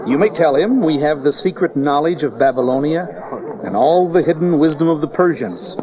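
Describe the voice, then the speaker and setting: A man's voice: fairly high pitch, expressive, normal speed, crowd noise, lightly acted. An actor playing a proud, clever young wise man from the East in a Bible audio drama, lofty and declamatory. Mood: lofty disdain. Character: proud young male magus, haughty, clever Eastern sage. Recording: dull cassette tape audio drama.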